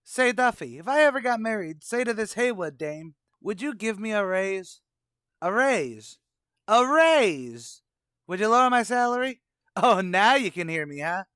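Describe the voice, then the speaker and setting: A man's voice: high-pitched, sing-song, measured pace, fairly bright tone, smooth, no echo, studio quality, full character voice. A man playing a brash, slangy, bluffing tavern manager who wisecracks in an old-time radio comedy. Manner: wisecracking, mock-grandiose.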